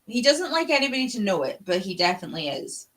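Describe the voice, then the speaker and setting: A woman with a bright, crisp-edged voice chats casually as a book show host. She talks like a devoted fan, gushing and swearing freely. She sounds like a young adult. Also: mid-range voice, big pitch swings, fast. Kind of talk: casual chat about books.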